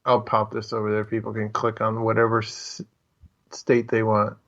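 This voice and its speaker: A man's voice: fairly low pitch, completely flat delivery, normal speed, fairly bright tone, lo-fi sound. An American man co-hosting a podcast, chatting casually with a joking, teasing manner. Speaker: podcast co-host. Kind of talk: casual chat.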